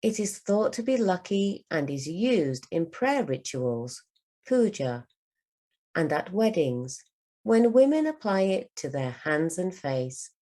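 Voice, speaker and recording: A woman teacher reading a children's book aloud for language learners, with careful, measured enunciation. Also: fairly low pitch, big pitch swings, mellow. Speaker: English teacher.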